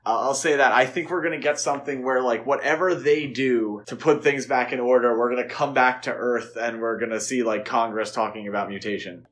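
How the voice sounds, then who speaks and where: A man's voice: mid-range voice, steady tone, brisk, few pauses, fairly bright tone, slight background noise. A man co-hosting a podcast, chatting casually and speculatively about films. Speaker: podcast co-host. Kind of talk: casual film discussion podcast.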